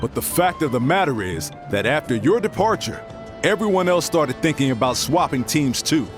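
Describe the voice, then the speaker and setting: Protective gruff uncle, blunt, very swooping delivery, video game, music behind. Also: male voice, fairly high pitch, big pitch swings, moderate pace. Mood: scolding.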